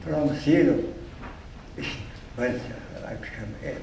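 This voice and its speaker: An older man with a heavy Chinese accent, speaking in halting, broken English as an award honoree, repeating words as he goes. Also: mid-range voice, gravelly. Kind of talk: speech with audience Q&A. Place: event hall. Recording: echoey room from far off, faint noise.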